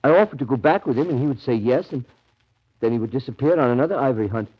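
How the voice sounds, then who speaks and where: An actor playing a frantic, devoted Russian camp follower with a husky voice in a 1930s radio drama. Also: male voice, mid-range voice, animated, quick pace. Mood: agitated, rambling. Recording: muffled 1930s radio broadcast.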